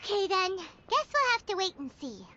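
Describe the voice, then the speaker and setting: A woman's voice: extremely high pitch, sing-song, brisk, over music. A woman voicing a small, chirpy, talkative childlike fairy companion in a video game. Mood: curious. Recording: dull game stream capture with loud noise.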